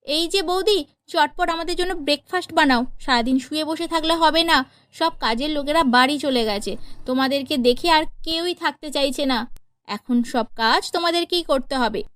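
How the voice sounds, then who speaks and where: A woman's voice: high-pitched, moderate pace, a bit bright, dry recording, clean studio sound. A woman voicing a spiteful, bossy young sister-in-law who scolds, in a cartoon. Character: spiteful young dark-skinned sister-in-law bossing the new brides.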